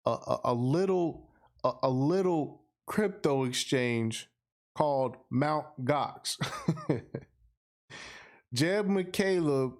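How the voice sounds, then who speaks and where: Slow-paced American man talking casually. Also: medium pitch. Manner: slangy, emphatic, repeats phrases for effect. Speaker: podcast host. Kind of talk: casual livestream monologue, responding to viewer comments.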